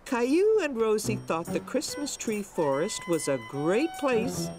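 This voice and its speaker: A woman's voice: mid-range voice, big pitch swings, measured pace, a little mellow, faint music behind. A woman narrating a preschool cartoon like a kindly storybook reader for small children. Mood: warm, storytelling.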